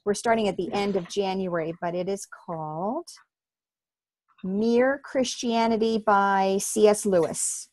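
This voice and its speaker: An upbeat, welcoming woman from the New Jersey shore hosts a book study over a video call, chatty and quick to laugh. Her voice sits at an everyday middle pitch. The sound is close and dry, with no room around it. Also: darkish tone, no echo. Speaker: host leading an online book study. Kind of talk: casual group video call.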